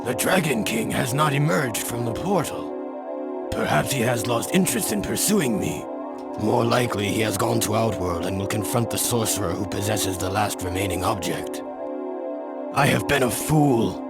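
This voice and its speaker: An actor playing an aging noble warrior in a video game, earnest and remorseful, speaking in a solemn, formal way. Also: male voice, fairly low pitch, normal speed, lightly acted. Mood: remorseful, resolute. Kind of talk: dramatic game voice acting. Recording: long reverb, loud music behind.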